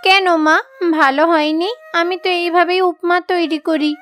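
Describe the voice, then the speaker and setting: A woman's voice: very high voice, steady tone, normal speed, fairly bright tone. A woman voicing a meek, mistreated young daughter-in-law in a cartoon fairy tale, pleading and plaintive. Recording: background music under the voice.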